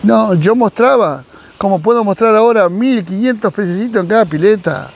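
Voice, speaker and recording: An animated middle-aged man with an Argentine Spanish accent, his voice sitting high for a man. He talks casually to a camera close by in a sunny garden, gesturing as he goes and acting out quoted dialogue. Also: moderate pace, creaky. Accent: Argentine Spanish, Mar del Plata. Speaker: fish breeder and YouTuber. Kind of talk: casual advice vlog. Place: outdoors in a sunny garden by a pool. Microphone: camera at close range.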